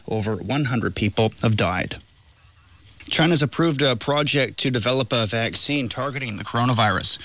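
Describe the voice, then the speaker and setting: A man's voice: medium pitch, fairly bright tone, low-quality recording. A man presenting scripted radio news, heard through a shortwave broadcast. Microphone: studio broadcast heard over shortwave.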